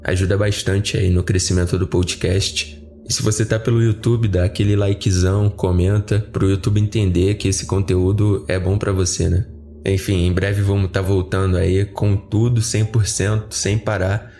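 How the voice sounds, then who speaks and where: A man's voice: deep voice, little pitch movement, moderate pace, mellow, soft background music. A Brazilian man with a slightly off, flu-affected voice, chatting informally and in a rambling way to his listeners as a podcast host. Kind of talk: casual chat to listeners.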